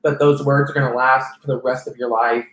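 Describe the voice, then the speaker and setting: Soft feminine-sounding guy in his 30s, rambly, lots of restarts, echoey room. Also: medium pitch, flat delivery, fairly quick. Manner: rambling, many restarts and fillers. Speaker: interview guest. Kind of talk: personal testimony in conversation.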